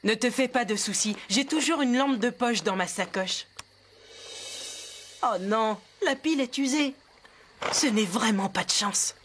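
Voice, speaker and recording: A woman voicing a confident, upbeat girl horse rider in a French animated series dub, brisk and take-charge. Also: a little high, slight background noise. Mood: concerned.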